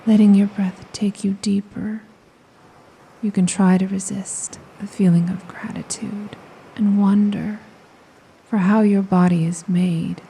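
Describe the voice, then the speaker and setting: A woman with a very dark, mellow voice leads a slow guided meditation. She speaks softly and breathily, close to a whisper, with a gravelly creak at the edges. Her phrases are soothing and spaced by long pauses, over faint ocean waves. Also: medium pitch, flat delivery, slight background noise, low-quality recording. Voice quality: soft, breathy, often near a whisper.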